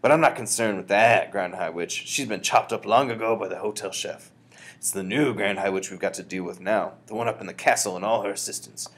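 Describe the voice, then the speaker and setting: A man's voice: lowish voice, a little fast, a bit bright. A man voicing a feisty, bold old grandmother in a storybook, emphatic and commanding. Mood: brisk, stern.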